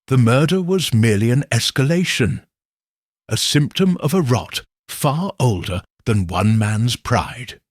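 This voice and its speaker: A man voicing a cool, exacting middle-aged detective in an audiobook, speaking in a measured, coldly certain way with a husky voice. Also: medium pitch, expressive, dry recording, clean studio sound. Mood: explaining. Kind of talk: audiobook character reading.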